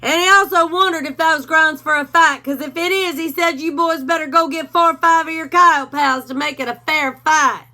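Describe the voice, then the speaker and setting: A woman's voice: high-pitched, moderate pace, hardly any pauses, bright, forceful tone, voice pushed up. A woman voicing a self-important male cowdog who talks in a dry, wry, drawling way.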